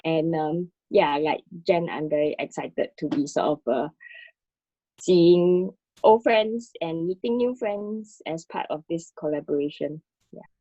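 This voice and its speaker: A woman's voice: low voice, unhurried, dark tone, dry recording. A woman talking casually and reflectively as an artist, hesitant and a little self-conscious as she searches for words, on a muffled recording. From Singapore. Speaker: artist in dialogue with a fellow artist.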